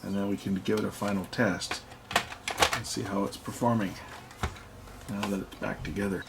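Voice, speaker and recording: Low, gravelly man narrating a repair. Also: steady tone, moderate pace, very noisy. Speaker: electronics repair video host. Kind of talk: casual hands-on repair narration.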